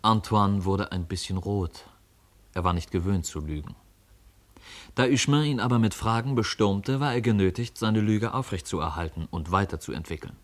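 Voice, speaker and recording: A man narrating a radio drama as a storyteller, reading literary prose in a measured, articulate way. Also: fairly low pitch.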